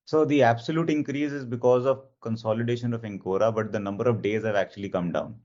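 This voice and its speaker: A man speaking as a finance executive, explaining figures to analysts in a measured, matter-of-fact way with halting phrasing. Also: mid-range voice, fairly even intonation, darkish tone. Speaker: company finance executive on an earnings call. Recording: dull, band-limited conference call audio.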